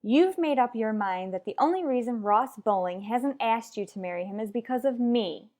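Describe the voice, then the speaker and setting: A woman's voice: fairly high pitch, expressive, normal speed, a little mellow, lightly acted. A woman playing a headstrong married mother in a radio soap, lecturing her rival bluntly and emphatically. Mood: blunt, insistent. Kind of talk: radio soap opera acting.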